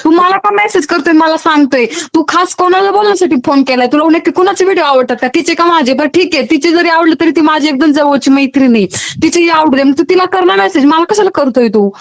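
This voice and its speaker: High-pitched scolding Maharashtrian woman. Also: fast talker, vocal fry. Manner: scolding, nagging, interrogating. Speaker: social media video creator taking a fan's call. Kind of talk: comic argumentative phone call.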